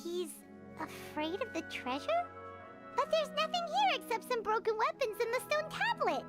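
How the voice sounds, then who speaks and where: A woman voicing a tiny, excitable floating companion sprite in a video game. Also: moderate pace. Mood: curious. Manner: excitable, squeaky. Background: game music.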